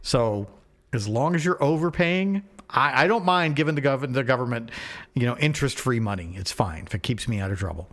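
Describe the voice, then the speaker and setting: A man's voice: mid-range voice, expressive, fairly quick, fairly bright tone. A man co-hosting a casual podcast, chatting and telling stories in a wry, joking way. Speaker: podcast co-host. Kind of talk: casual banter on a phone call podcast.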